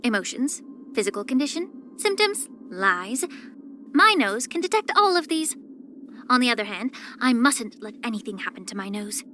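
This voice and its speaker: A woman voicing a poised, soft-spoken, subtly sly young female healer in a video game, with measured, gentle, composed delivery. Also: on the high side, very animated, slight background noise. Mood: calm, knowing. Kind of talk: scripted character voice lines.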